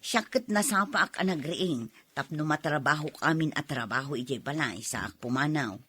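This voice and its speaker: A woman's voice: sits low, animated, normal speed, pushed and bright, slightly rough, heavily acted. A woman playing a weary but feisty put-upon wife and mother in a radio drama, with an Ilocano accent and a complaining, sighing manner. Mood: weary, friendly.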